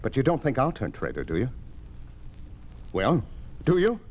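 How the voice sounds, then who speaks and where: An actor playing a reluctant, protesting farmer's son pushed into politics in a 1950s radio drama. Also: male voice, medium pitch, huge pitch swings, normal speed, darkish tone. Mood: pleading, earnest. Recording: noisy old 1950s radio recording.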